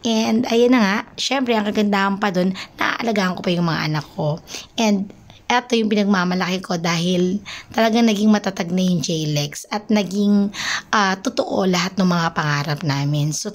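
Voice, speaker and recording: A woman's voice: medium pitch, normal speed. A Filipino woman narrating a personal vlog as a small business owner, speaking earnestly and mixing in English phrases.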